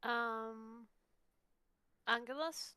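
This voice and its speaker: A woman's voice: on the high side, steady tone, extremely slow, bright, forceful tone, smooth, no echo. A woman chatting casually as a player in a tabletop roleplaying game.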